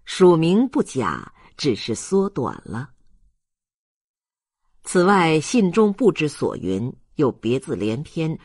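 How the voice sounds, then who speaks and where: A man reading a novel aloud as an audiobook narrator, in an expressive literary storytelling style. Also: fairly high pitch, husky, no room sound, studio quality.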